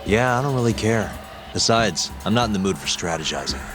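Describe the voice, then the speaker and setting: A man acting a cool, reckless detective from a video game, speaking in a low register. He is casual, sure of himself and protective, with music playing under his lines. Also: animated, a little fast, close to an everyday voice. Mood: cool, resolute. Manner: laconic, casual, assured. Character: determined, cool-headed young male detective, protective and reckless. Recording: background music under the dialogue.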